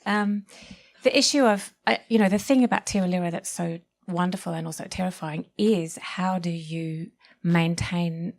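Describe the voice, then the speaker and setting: A woman's voice: lowish voice, fairly even intonation, moderate pace. A woman speaking as a panellist in a literary discussion, thoughtful and articulate, making her points with emphasis.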